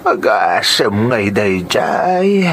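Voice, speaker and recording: An actor playing a weak old grandfather after surgery in a radio drama, speaking in a halting, breathy, labored way. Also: on the low side, big pitch swings, a bit bright, heavily acted. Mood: weary, weak. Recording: faint background noise.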